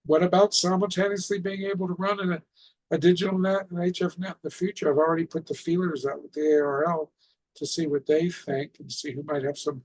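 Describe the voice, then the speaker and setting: Man, slightly high pitch, husky, creaky voice, informal club presenter, explanatory, rhetorical questions. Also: rough recording. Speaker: presenter of an amateur radio club session.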